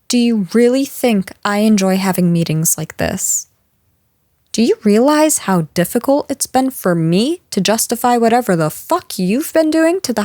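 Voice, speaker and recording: A woman playing a stern, frustrated office boss in a scripted audio roleplay, speaking to an employee in a firm, measured, exasperated way. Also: on the high side, darkish tone, no echo, clean studio sound. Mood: frustrated, angry. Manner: firm, exasperated, measured managerial delivery with sighs.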